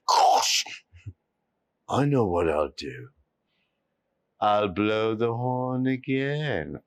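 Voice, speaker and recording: A man's voice: fairly low pitch, big pitch swings, drawn-out words, dry recording, voice pushed down, full character voice. A man voicing a mischievous young shepherd boy who giggles at his own pranks. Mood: gleeful. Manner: giggling, gleeful.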